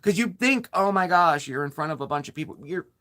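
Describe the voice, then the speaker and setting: Bright, animated man rambling cheerfully. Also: fairly high pitch. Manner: rambling, animated, quick to laugh. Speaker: co-host of a live stream. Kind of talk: casual live-stream chat. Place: living room, on a love seat. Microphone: phone.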